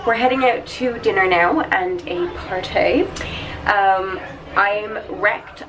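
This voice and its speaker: A young woman in her twenties chatting casually to a handheld camera, giggly and a bit congested from being sick. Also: medium pitch. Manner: chatty, giggly, filming herself at arm's length. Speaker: vlogger. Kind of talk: casual vlog chat. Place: busy hotel restaurant at night. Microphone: handheld camera at arm's length. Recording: noisy crowded restaurant behind.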